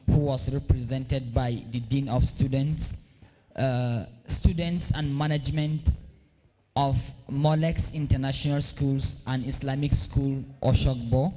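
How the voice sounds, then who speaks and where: A young Nigerian man from the north giving a formal vote of thanks at a ceremony, halting, with many fillers and restarts. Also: mid-range voice, mellow. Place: large hall at a live event. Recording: long reverb in a large hall.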